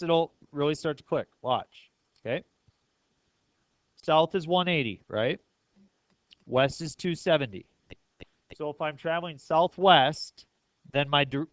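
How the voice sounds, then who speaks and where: A man's voice: a little high, a bit bright, no echo. A man teaching a math class step by step, often checking understanding with questions. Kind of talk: classroom lesson, explaining step by step.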